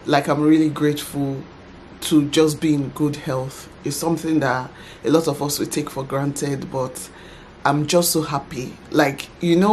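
A woman in her thirties chatting to camera from her home office as a vlogger, soft-spoken and quick to laugh. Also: sits low, a little hiss or hum. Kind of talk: casual talk to camera. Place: home office by a computer desk.

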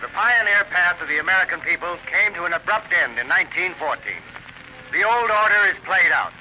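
An actor playing an earnest reformist government official, declaiming emphatically in a 1930s radio style. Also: male voice, sits high, animated, fairly quick, pushed and bright, faint music behind, heavily acted. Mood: urgent, warning. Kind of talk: 1930s newsreel radio acting. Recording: noisy 1930s radio recording.

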